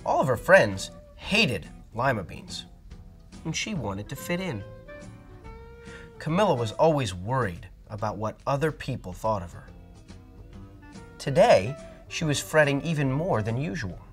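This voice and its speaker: Man, storybook reader, lively, expressive, soft music underneath. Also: mid-range voice, measured pace, pauses often. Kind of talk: children's read-aloud narration.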